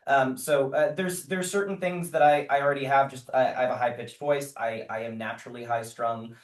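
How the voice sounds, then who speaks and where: A man speaking as an actor being interviewed, casual and reflective, halting and a little self-deprecating as he explains himself. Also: medium pitch, steady tone. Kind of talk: casual thoughtful interview talk. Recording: echoey room, distant microphone.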